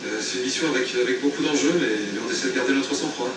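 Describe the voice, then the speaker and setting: A man playing a proud, nervous geologist in a live news interview, speaking in a halting, earnest way. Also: mid-range voice, lightly acted. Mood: nervous pride. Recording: very echoey, distant, noisy link.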